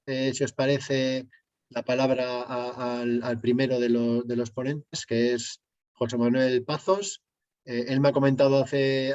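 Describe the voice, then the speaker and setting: A man's voice: medium pitch, a little mellow. A man hosts a panel debate over a video call, passing the floor along in a casual, easygoing way. He peppers his sentences with little tag questions. The audio is dull and lo-fi. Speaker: moderator.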